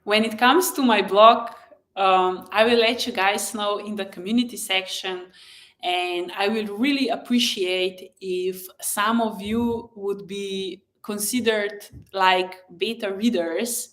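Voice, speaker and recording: A woman who is a native Slovenian speaker, hosting a casual live chat in a gentle, encouraging and effusive way, often pausing mid-thought. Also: mid-range voice, steady tone. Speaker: live stream host and blogger.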